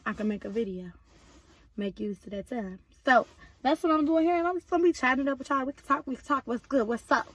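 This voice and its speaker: Hoarse, high, bright-voiced woman vlogger. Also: low-quality recording. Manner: chatty, addresses viewers directly. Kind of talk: casual chat to viewers.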